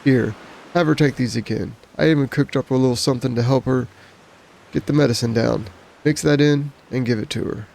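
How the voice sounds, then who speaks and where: Creaky-voiced, kindly ship's cook, acted by a man. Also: medium pitch, brisk, darkish tone, slight background noise, close to an everyday voice. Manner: polite, considerate. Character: helpful, kindly male pirate ship's cook. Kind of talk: video game voice acting.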